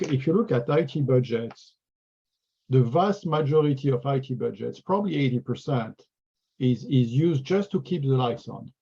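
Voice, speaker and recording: A man's voice: medium pitch, creaky, mellow, slightly rough, muffled recording. A man from France with a French accent, an executive answering interview questions earnestly and often repeating words as he thinks, heard over a video call. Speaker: regional sales executive.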